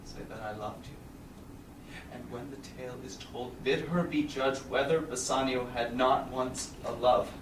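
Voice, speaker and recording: A man's voice: medium pitch, fairly even intonation, unhurried, natural voice. A man playing a resigned, noble merchant in a staged Shakespeare reading, solemn and formal. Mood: resigned, tender. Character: resigned, noble merchant facing death for friendship. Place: small theatre stage, indoors. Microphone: far audience camera. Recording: echoey stage, distant camera, clear noise.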